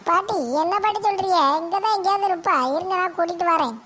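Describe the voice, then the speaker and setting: An actor playing a mischievous schoolboy grandson, making excuses and muttering asides. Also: male voice, extremely high pitch, steady tone, fast talker, bright, forceful tone. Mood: cheeky.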